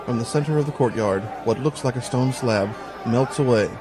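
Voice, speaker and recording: A man's voice: medium pitch, normal speed. Male audio drama narrator over music. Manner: descriptive storytelling.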